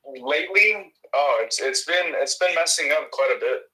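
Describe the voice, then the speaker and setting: A man's voice: fairly high pitch, expressive, moderate pace, pushed and bright, rough recording. A man from Mexico, a native Spanish speaker with a faint Mexican accent, chatting on a video call with friendly, stammering restarts. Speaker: video chat participant. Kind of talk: casual video chat.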